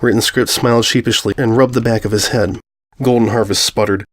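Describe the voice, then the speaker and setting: A man reading a scripted story aloud as an expressive narrator. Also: on the low side, a little fast. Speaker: fan fiction narrator.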